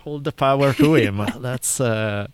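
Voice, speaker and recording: A man's voice: mid-range voice, unhurried, slight background noise. A man with an Italian accent hosting a casual interview, rambling and laughing often. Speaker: podcast host.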